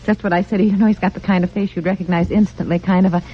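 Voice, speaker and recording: An actress playing a flighty, soft-hearted, nervous wife in a mid-century American radio comedy, chattering hesitantly. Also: female voice, lowish voice, steady tone, quick pace, natural voice. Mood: chatty certainty. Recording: muffled, noisy old radio recording.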